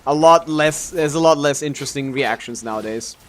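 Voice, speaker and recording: A man's voice: a little high, fairly quick, a bit bright, a little hiss or hum. A man doing casual live game-stream commentary in a rambling, mildly jaded way, often restarting his thoughts.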